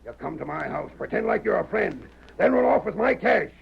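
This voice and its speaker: An actor playing a hard, hot-tempered western ranch man in a radio drama, barking his words forcefully with a gravelly voice. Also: high voice, big pitch swings, fairly quick, full character voice. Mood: furious. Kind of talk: old-time radio drama acting. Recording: noisy, muffled vintage radio recording.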